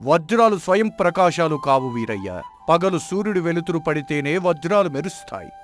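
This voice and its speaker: A man playing a wise blind king in a folk tale, questioning deliberately with pointed sarcasm. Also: a little high, animated, soft background music, natural voice. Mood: sarcastic, accusing. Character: wise blind king, shrewd and righteous father. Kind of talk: dramatised Telugu folk tale acting.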